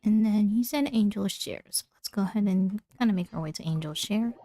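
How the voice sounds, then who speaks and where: A woman's voice: medium pitch, a little fast, mellow. A woman streamer giving casual gameplay commentary, thinking aloud in short asides. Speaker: game streamer.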